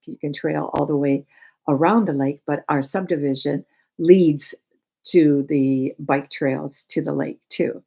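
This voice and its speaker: An older American woman with a low voice talks informally as a spiritual teacher. She takes her time, moving through her thoughts in a reflective, deliberate way with halting phrases. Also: steady tone, vocal fry, mellow, husky. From United States, raised in Michigan. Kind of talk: informal spiritual talk with personal anecdotes.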